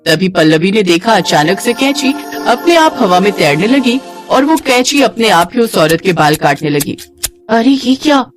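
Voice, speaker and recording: A woman narrates a cartoon moral tale in a scripted, dramatic style. Her medium-pitched voice moves with lively intonation. A music bed plays behind. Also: moderate pace. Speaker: storyteller narrator.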